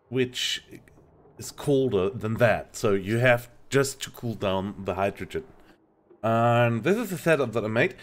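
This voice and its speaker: A man with a German accent, a native German speaker, hesitantly explaining a game in a casual tutorial, searching for words. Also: fairly low pitch. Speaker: game streamer, tutorial host. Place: indoor home streaming setup.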